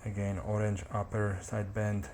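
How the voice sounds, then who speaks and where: A man narrating a casual hands-on repair tutorial, speaking haltingly and repeating words as he works. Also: on the low side, completely flat delivery, a little mellow, slight background noise. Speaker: hobbyist demonstrating a radio repair.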